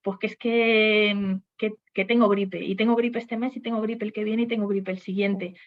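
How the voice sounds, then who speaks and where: A woman's voice: mid-range voice, fairly even intonation, normal speed, dull audio. A woman on a webinar panel discussing HR topics, speaking hesitantly with frequent restarts and fillers.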